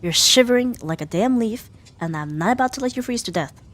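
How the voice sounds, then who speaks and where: A woman playing a sharp-tongued young female rival in an ASMR roleplay, huffy and sarcastic but grudgingly caring. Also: fairly high pitch, lively intonation. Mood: reluctantly caring. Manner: sarcastic, huffy, theatrical sighs and drawn-out jabs. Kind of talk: ASMR roleplay audio drama addressed to the listener.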